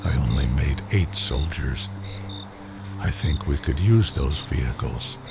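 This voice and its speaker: A man playing a gruff, menacing gang leader in an audio comic, giving curt, commanding lines in a gravelly voice. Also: a bass voice, a little slow, over music, heavily acted. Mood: calm, commanding. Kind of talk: audio comic dramatic acting. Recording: clearly noisy track with night crickets.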